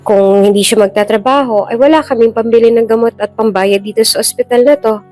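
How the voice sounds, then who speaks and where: A woman's voice: fairly high pitch, fairly even intonation, fairly quick, a little mellow, voice pushed up, natural voice. A woman with a Filipino accent voicing an innocent, polite eight-year-old child in a storybook-style drama. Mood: meek, hopeful. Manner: meek, respectful. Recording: music bed behind the voice.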